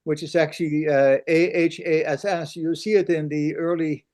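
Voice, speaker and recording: Older guy, kinda high voice, lots of uh and um, rambles, video call. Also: steady tone, normal speed, darkish tone. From Netherlands. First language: Dutch. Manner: rambling, many fillers. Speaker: audience member asking a question.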